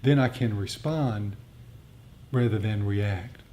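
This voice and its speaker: A man with a soft, mellow voice gives a reflective dharma talk. He explains things haltingly, pausing to find his words as he answers a group. A low rumbling noise sits behind him. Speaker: meditation teacher. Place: group meditation gathering.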